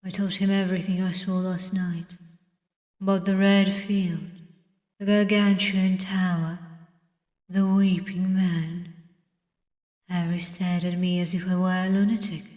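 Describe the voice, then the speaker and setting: A woman voicing a reserved, weary detective who narrates a visual novel in a measured, dramatic way. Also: on the low side, flat delivery, darkish tone, natural voice. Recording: distant mic, echoey long reverb.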